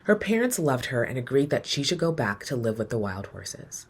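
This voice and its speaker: A woman reading a children's storybook aloud in an expressive, measured storytelling manner, letting her phrases end softly. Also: very deep voice, a little hiss or hum. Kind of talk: storybook read-aloud, scripted narration.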